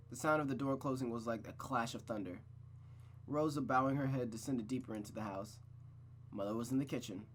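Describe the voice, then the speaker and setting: A host narrating a visual novel aloud in a mid-range voice. The reading keeps stopping for blunt, excited reactions. A faint hum sits under the recording. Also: mid-range voice. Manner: reads the narration, then breaks off into blunt, excited asides.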